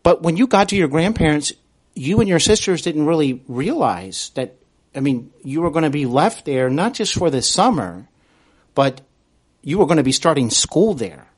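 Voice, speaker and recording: A man hosting an interview in a conversational, courteous way, with deliberate, halting phrasing. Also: fairly high pitch, sing-song, breathy edge. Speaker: podcast host, interviewer.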